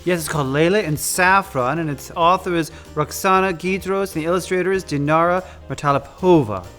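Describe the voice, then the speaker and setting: High-voiced theatrical man, campy storyteller. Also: a little fast, faint music behind. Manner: effusive, campy, often calls listeners "darlings"; dramatic, expressive delivery. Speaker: drag queen storyteller and guest. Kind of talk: theatrical read-aloud of picture books with chatty asides.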